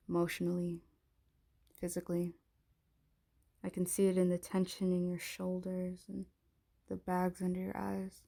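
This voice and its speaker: A woman playing a haughty, menacing dragon queen in an intimate ASMR roleplay, speaking in an imperious, taunting, deliberate way. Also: on the low side, gravelly, a little mellow. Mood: coolly merciful.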